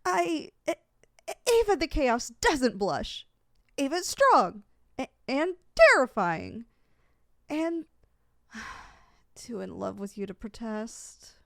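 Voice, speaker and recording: A woman's voice: on the high side, sing-song, slow, vocal fry, long pauses, fairly bright tone, dry recording, clean studio sound, full character voice. A woman playing a proud but easily flustered, lovesick young supervillain in an affectionate, dramatic ASMR roleplay. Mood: tender.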